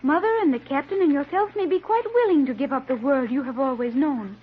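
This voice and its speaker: A woman's voice: high-pitched, a little fast, natural voice. An actress playing an earnest, solemn girl with formal, precise diction in an old-time radio serial. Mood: solemn, worried. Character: earnest, solemn girl raised apart from the world. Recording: muffled vintage radio recording.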